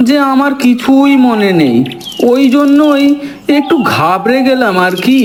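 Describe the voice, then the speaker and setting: Timid cartoon husband, male actor: very high pitch, nervous and placating. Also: slight background noise. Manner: nervous, placating, talks himself into courage.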